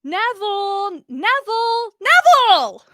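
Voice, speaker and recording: Storybook best friend, young woman read by a female narrator: informal, amused, teasing, very animated, dry sound. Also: extremely high pitch, takes it slowly, no room sound, pitched above the performer's own voice, exaggerated voice. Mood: shouting.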